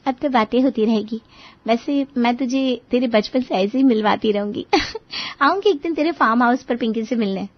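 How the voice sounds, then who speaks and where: A woman's voice: fairly high pitch, moderate pace, lo-fi sound. A woman playing a friendly, teasing young woman, an old friend, chatty and frank, in a cartoon story. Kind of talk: Hindi moral-story cartoon dubbing.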